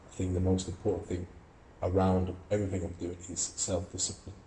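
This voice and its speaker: A man's voice: sits low, flat delivery, fairly quick, reverberant, heavy background noise. A young man from Russia, an amateur boxer and student, giving earnest, reflective interview answers with many fillers and restarts. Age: young adult.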